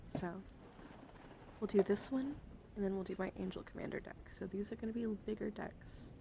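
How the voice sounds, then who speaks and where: A woman with a mid-pitched voice hosts a livestream in a gentle ASMR style. She speaks softly, often drops into a whisper and lingers on soothing words. Clear ambient noise sits behind her voice. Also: moderate pace. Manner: soft-spoken, often dropping into whispers. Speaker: livestream host. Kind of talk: ASMR, soft chat to livestream viewers with card reading.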